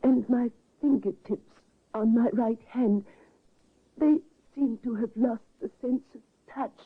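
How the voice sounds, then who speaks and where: A frail, anxious female patient in a vintage radio drama. Her voice is breathy and faltering, fragile with grief, on a thin phone-like recording. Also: a little high, takes it slowly. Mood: weak, anxious. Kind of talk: 1950s radio mystery acting.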